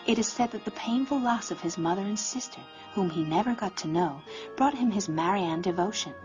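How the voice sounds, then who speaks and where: A woman's voice: mid-range voice, expressive, fairly quick. A woman reading scripted narration in a storybook biography style. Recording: dull sound, loud music behind.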